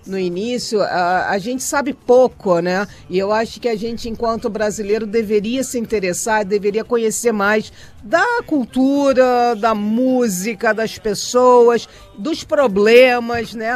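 A woman's voice: on the high side, a little slow, hardly any pauses, soft background music. A woman interviewing in a chatty, informal way as a radio host. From Brazil. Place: radio studio.

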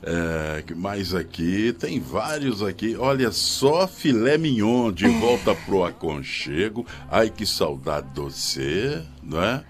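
A man's voice: lowish voice, sing-song, slow pace, hardly any pauses, soft background music. A man co-hosting a live radio talk show in a studio, enthusiastically reading aloud and listing songs. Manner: enthusiastic, reads aloud and lists song titles. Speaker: radio co-host. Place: radio studio with faint music behind.

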